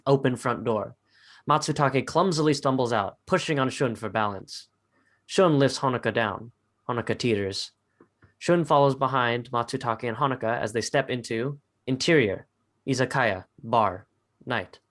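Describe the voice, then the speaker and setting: A man with a medium-pitched voice narrating stage directions in a dramatic script reading. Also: fairly bright tone.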